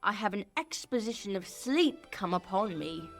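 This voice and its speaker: A woman's voice: medium pitch, animated, moderate pace, fairly bright tone, faint music behind. A woman playing a sleepy, foolish man with a donkey's head in a Shakespearean radio comedy. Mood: drowsy, contented. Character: sleepy, foolish male weaver turned donkey-headed, blunt and homely.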